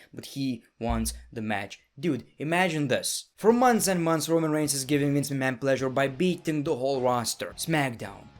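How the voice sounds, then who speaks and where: A man from Lithuania with a Lithuanian accent, hosting a joking, sarcastic wrestling reaction commentary that swings from loud bursts to mumbled asides. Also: mid-range voice. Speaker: YouTube wrestling reaction host. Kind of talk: casual comedic commentary.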